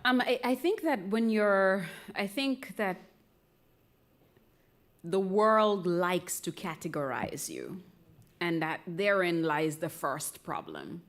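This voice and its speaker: A woman with a Jamaican accent talking candidly on stage, unhurried, thoughtful and playful. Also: on the low side, sing-song. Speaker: speaker in a live conversation event. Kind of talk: reflective, candid talk before a live audience.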